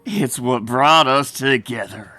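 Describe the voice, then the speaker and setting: A man's voice: medium pitch, slow, pushed and bright, faint music behind. A man voicing a proud, bitter male pony racer with a folksy, theatrical manner in a comic dub. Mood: smug, nostalgic. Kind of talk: comic dub character acting.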